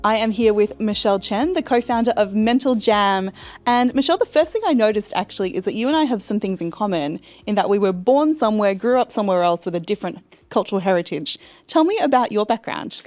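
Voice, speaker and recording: A woman's voice: medium pitch, expressive, fairly quick, darkish tone. A woman hosting an interview podcast, introducing her guest and asking encouraging questions. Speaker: podcast host. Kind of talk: hosted interview.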